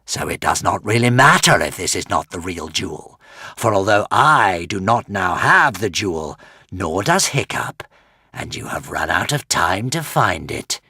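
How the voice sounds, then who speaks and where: A man's voice: low voice, very animated, measured pace, bright, forceful tone, husky, no echo, clean studio sound, full character voice. A man voicing a huge, savage, ancient male sea dragon who hisses with menace. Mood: menacing. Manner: hissing, furious, menacing.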